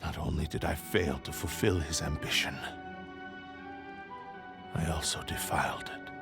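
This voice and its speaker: A man voicing the sorrowful, proud spirit of a cursed sentient blade in a video game, grave, breathy and brooding. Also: a bass voice, fairly even intonation, unhurried, exaggerated voice. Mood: remorseful. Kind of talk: video game story dialogue. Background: background music.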